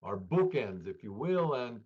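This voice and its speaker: A man giving spontaneous analysis as an interviewed expert, halting and repeating words as he thinks. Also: mid-range voice, sing-song, slightly rough. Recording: dull lo-fi interview audio.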